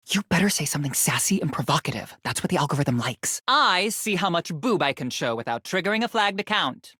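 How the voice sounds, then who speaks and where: A woman's voice: sits low, fairly bright tone, no echo, clean studio sound. A woman voicing a vain, snarky young female influencer character in a video game, self-absorbed and full of social media speak. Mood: snarky, dismissive, then vain, showy. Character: vain sassy young female influencer lamp, fame-obsessed.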